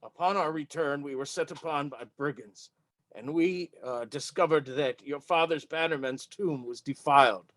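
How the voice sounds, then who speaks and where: A proud dwarf adventurer, played by a man at a tabletop game, speaks with gallant formality. His voice sits slightly high for a male and is recorded dry and close. His delivery is deliberate and courtly. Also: a bit bright, dry recording, close to an everyday voice, poor audio. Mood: earnest, formal.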